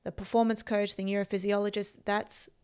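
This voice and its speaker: A woman's voice: medium pitch, little pitch movement, brisk, a little mellow, clear, resonant tone. A woman in her thirties with an Australian accent, telling motivational personal stories in an emphatic, self-assured way, close on a podcast microphone. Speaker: podcast host and performance coach. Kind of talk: motivational personal storytelling to camera. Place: indoor room. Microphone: podcast microphone on boom arm, close up.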